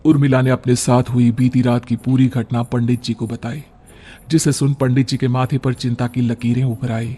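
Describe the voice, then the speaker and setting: A man's voice: mid-range voice, flat delivery, normal speed, dark tone, husky. A man reading a scripted horror story as a dramatic narrator. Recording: background music under the voice.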